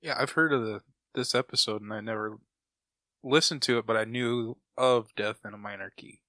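A man's voice: on the low side, normal speed, bright, forceful tone, no room sound. A man in casual chat as a podcast co-host, speaking close to the microphone.

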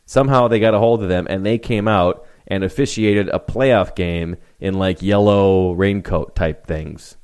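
A deep-voiced man co-hosting a loose sports podcast. He rambles, jokes and mocks himself as he talks. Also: unhurried, hardly any pauses, darkish tone, clean tone. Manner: joking, rambling, self-mocking. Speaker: podcast co-host. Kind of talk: casual sports chat.

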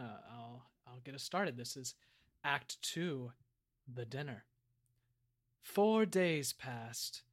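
A man with a slightly high voice acts as podcast host and narrator. He moves between casual hosting and scripted storytelling for an audio drama.

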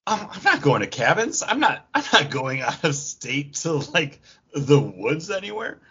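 A man co-hosting a podcast in casual, animated pop culture chat, swearing freely and acting out imagined quotes. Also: fairly high pitch, a little slow, husky. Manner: profane, acts out imagined quotes mid-talk. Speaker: podcast co-host. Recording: dull, lo-fi audio.

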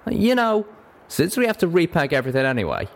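Storybook cowboy pegasus, acted by a man: folksy American Southern or Western drawl, bashful, plain-spoken, lifted pitch, noisy recording. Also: sing-song, fairly quick. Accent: American South or West drawl.

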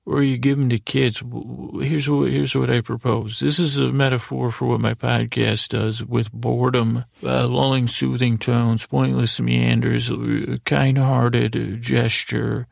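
A man hosting a sleep podcast in a meandering, halting, whimsical monologue, often interrupting himself, with a gravelly, creaky voice. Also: mid-range voice, level tone, no room sound, studio quality. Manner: whimsical, mildly humorous. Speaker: podcast host.

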